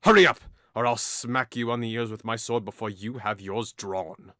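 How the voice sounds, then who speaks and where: A man's voice: fairly low pitch, fairly even intonation, moderate pace, pushed and bright, no room sound, studio quality. A man playing a hot-headed, mocking young nobleman in an audio drama, with a theatrical, taunting delivery. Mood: angry, taunting.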